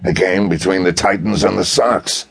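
Guy doing a grouchy gravelly fed chief, deep and blunt, grumbles like old pulp detective stuff. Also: steady tone, moderate pace, exaggerated voice. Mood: sour, exasperated. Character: gruff, stubby, exasperated male federal police chief.

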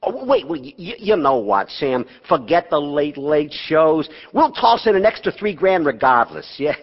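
A high, very animated male voice as a brash casino boss. He is slick and bossy like a salesman in this stage play, with a hall's long ring. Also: moderate pace, creaky, dull audio. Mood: slick, wheedling. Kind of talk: stage drama acting.

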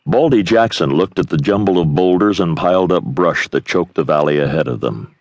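A man's voice: low voice, fairly quick, no echo, muffled recording. A man reading scripted dramatic narration for a western audio drama.

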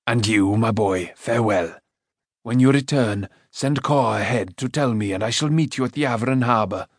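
A kindly older male farmer in an audiobook, as a man puts him on. He speaks gently and fatherly, in a plain, even voice. The sound is dry and close. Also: mid-range voice, moderate pace, no echo, natural voice. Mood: fond. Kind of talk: audiobook character voice.

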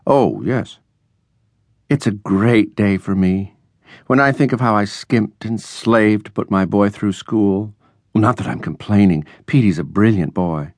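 A man voicing a gabby, falsely bright middle-aged landlady in a storybook reading. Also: fairly low pitch, a little slow, no echo, studio quality, natural voice. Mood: chatty, bright. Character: chatty, gushing middle-aged landlady and proud mother.

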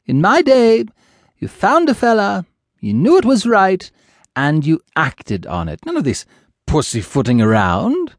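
Guy putting on a sweet nosy old ghost lady witch, gravelly and high, swoops all over the place, slow and drawn out, dry close sound. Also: wildly swooping pitch, no echo, heavily acted. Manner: fluttery, motherly, teasing, calls others dearie.

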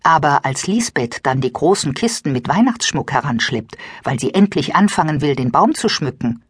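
A woman narrating a children's audiobook with expressive, scripted storytelling. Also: low voice, moderate pace, breathy edge, dry recording, clean studio sound.

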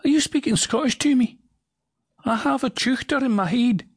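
A man's voice: very high-pitched, normal speed, husky, voice pushed up, full character voice. A man voicing a startled man who hears a voice in his head, speaking with incredulous exclaiming.

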